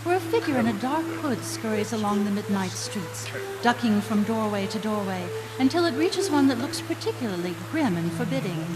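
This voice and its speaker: A middle-aged woman playing a dry, measured narrator, reading scripted narration in live radio theatre. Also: fairly high pitch, lively intonation, over loud music, close to an everyday voice. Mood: atmospheric. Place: stage in a hall. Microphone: stand microphone. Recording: echoey live stage hall.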